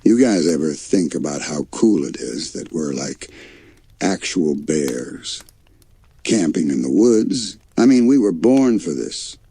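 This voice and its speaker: A man's voice: extremely low pitch, lively intonation, slow pace, mellow, breathy edge, no echo, full character voice. A man voicing a goofy, boastful young male cartoon bear in a bedtime story, enthusiastic and slangy. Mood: playful, defensive.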